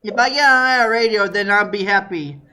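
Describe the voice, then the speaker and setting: A man with a high voice hosting a podcast, talking in an excitable, casual way and laughing easily. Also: brisk, smooth. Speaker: podcast host. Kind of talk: casual chat.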